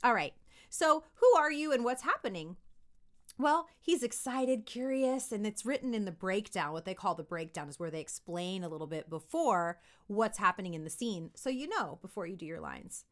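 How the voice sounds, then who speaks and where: A woman's voice: mid-range voice, expressive, normal speed. A woman giving an informal lesson as a voice acting coach for kids, encouraging and emphatic as she demonstrates. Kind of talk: informal instructional video aimed at kids.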